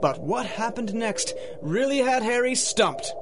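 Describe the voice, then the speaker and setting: A man's voice: very high-pitched, animated, measured pace, noisy background. A man giving theatrical, dramatic narration in rhyming storybook verse for a radio drama.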